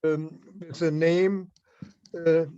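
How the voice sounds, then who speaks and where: German guy on a dull-sounding video call, kinda high voice, lots of ums. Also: little pitch movement, mellow, smooth. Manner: hesitant, many fillers, slow. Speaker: author and meeting participant. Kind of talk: casual video call discussion.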